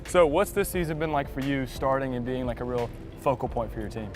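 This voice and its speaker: A young man with a mid-range voice and a rapid delivery interviews athletes as a sports reporter. He keeps it upbeat and chatty while walking. Faint music sits under his voice. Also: mid-range voice. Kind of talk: upbeat walk-and-talk interview.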